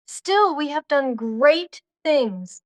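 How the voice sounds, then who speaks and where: A man voicing a spirited, flirtatious English duchess in an audiobook, with a coy falsetto and quick retorts. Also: expressive, no echo, heavily acted. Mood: sparring. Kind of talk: audiobook character dialogue.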